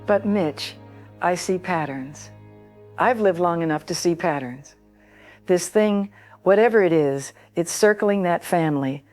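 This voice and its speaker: An older woman caller, voiced by an actress, who talks in a grave, insistent way about her fears. Her voice sits a little low. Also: a little slow, darkish tone, soft background music, close to an everyday voice. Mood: worried. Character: worried older woman radio caller, long-time local. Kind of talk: audio drama acting.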